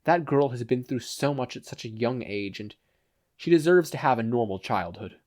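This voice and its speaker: A man's voice: mid-range voice, lively intonation, normal speed, darkish tone, close to an everyday voice. A kindly male mentor from a superhero tale , voiced by a man reading aloud. He sounds concerned and supportive, speaking with care. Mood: gentle concern.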